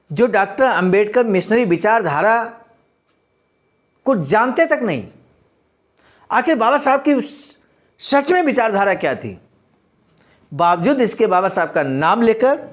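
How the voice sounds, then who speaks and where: Earnest, high-pitched man addressing listeners. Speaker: speaker reading and commenting on a historical speech. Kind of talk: read-aloud speech with earnest explanatory commentary.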